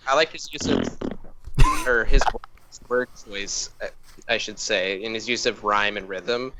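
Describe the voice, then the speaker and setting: A man's voice: fairly low pitch, measured pace, background noise. A man hosting a podcast, steering the discussion with casual, slangy banter.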